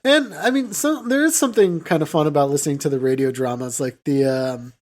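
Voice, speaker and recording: A man's voice: on the high side, brisk. A man co-hosting a podcast in casual, understated, hesitant conversation. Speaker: podcast co-host. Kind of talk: casual chat.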